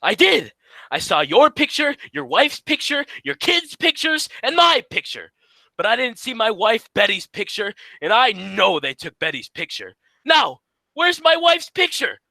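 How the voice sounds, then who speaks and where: Man playing Abbott, a very high-pitched, badgering straight man. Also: sing-song, measured pace, vocal fry, sharp tone, no room sound, exaggerated voice, poor audio. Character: Abbott, sharp suspicious straight man of comedy duo. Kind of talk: 1940s radio comedy acting.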